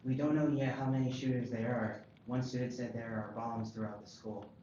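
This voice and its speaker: A man's voice: medium pitch, steady tone, fairly quick, dark tone, close to an everyday voice. Man acting as a TV news reporter, very echoey. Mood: urgent reporting. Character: television news reporter covering a school emergency. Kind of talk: breaking news report read aloud at table read. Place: roomy indoor table read. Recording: echoey room, dull sound, clear noise.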